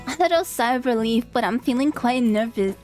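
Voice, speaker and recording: A woman's voice: fairly high pitch, a little fast, a little mellow, faint music behind. A woman livestreaming a visual novel, reading the dialogue aloud and reacting expressively in casual talk. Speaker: streamer, VTuber.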